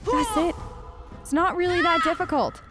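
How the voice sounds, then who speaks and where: A woman doing chatty, playfully expressive role-play commentary on a video game. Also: extremely high pitch, normal speed. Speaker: let's play streamer. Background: faint game music.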